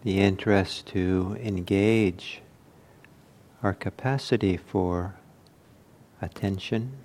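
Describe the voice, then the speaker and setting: Slow-paced man guiding a meditation. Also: deep voice, steady tone, darkish tone, slight background noise. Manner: measured, with long pauses between short phrases. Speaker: meditation teacher. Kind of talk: guided meditation instruction.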